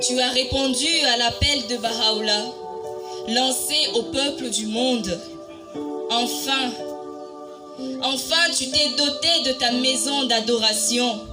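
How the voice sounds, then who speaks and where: A woman reciting a poem in a dramatic spoken-word style, solemn and declamatory, with deliberate pauses. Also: on the high side. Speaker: poetry reciter. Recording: music bed under the voice. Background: music.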